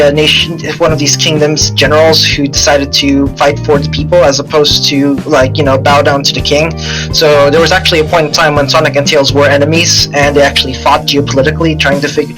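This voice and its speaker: A man in a casual podcast chat, explaining something at length in a halting, repetitive, enthusiastic way. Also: a little high, rough recording. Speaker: podcast co-host. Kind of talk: casual chat, long rambling explanation of comic lore. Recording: music behind the voice. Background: music with guitar.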